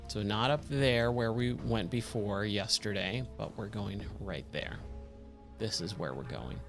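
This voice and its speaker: Guy doing a let's play, kinda hoarse, slow rambly talk, asks himself stuff, game music behind. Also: fairly low pitch, fairly bright tone. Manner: rambling, self-questioning. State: slightly hoarse, scratchy voice. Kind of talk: casual gameplay commentary, thinking aloud.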